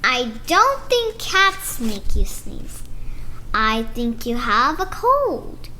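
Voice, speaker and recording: Young girl as a slow, deadpan storybook cat doctor. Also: fairly high pitch, slight background noise, pitched below the performer's own voice, full character voice, low-quality recording. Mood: matter-of-fact. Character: calm, matter-of-fact cat doctor.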